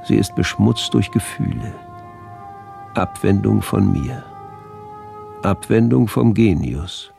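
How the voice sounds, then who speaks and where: A man's voice: on the low side, animated, slow, gravelly, pauses often, mellow, full character voice. An actor playing a pompous, cold colonial doctor reciting his diary in a declamatory radio drama style. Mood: disgusted, fanatical. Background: music.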